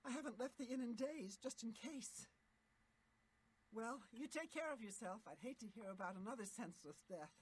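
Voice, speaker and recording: A woman's voice: a little high, moderate pace, slightly rough, poor audio. A woman voicing a nervous, chatty inn patron in a video game, fretting as she talks. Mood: fretful. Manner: chatty, lively, worried.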